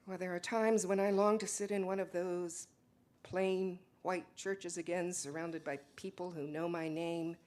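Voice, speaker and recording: Slow, emphatic earnest wife played by a woman. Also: lowish voice, flat delivery, a little mellow, lightly acted. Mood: earnest, conflicted. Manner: halting, emotional, emphatic. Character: Lydia, earnest Mennonite wife, conflicted, pleading, indignant. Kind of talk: dramatic stage monologue.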